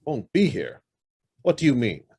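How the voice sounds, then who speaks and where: A man's voice: mid-range voice, wildly swooping pitch, measured pace, darkish tone, slightly rough, full character voice. An actor playing a curt, demanding middle-aged businessman who is suspicious and hiding something, in a radio drama. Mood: suspicious, impatient. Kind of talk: stage-style radio drama acting.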